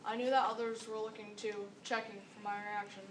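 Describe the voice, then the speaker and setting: A woman's voice: mid-range voice, level tone, brisk. A seventh-grade girl performing a scripted readers theater monologue. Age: about 12 to 13, seventh grader. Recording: echoey room, distant mic, faint noise.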